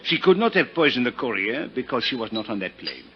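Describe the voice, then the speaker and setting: An actor playing a suave, teasing French police inspector with a French accent, speaking in a florid, savoring manner in 1950s radio drama style. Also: male voice, medium pitch, sing-song, a little fast, natural voice. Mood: argumentative, sly. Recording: muffled, hissy 1950s radio recording.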